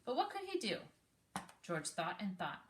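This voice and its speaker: A woman reading a children's picture book aloud in an animated, encouraging way, pausing to prompt with questions. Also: medium pitch, noisy background. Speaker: read-aloud host, speech therapist.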